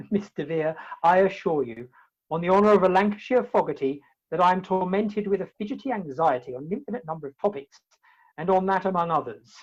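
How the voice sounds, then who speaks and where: Husky, flustered gentleman bluffing gallantly, played by a man. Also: sits high, lively intonation, brisk. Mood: pompous evasion. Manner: stammering, pompously formal, blustering. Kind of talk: comic period farce in a radio play. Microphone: video call. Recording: dull, lo-fi video-call sound.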